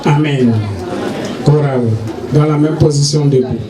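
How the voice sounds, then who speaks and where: A fairly high-voiced man leads a funeral service as pastor. He recites scripture slowly and solemnly. The recording is echoey, with clear guitar music behind him. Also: steady tone. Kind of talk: funeral sermon and scripture reading. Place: outdoor funeral gathering.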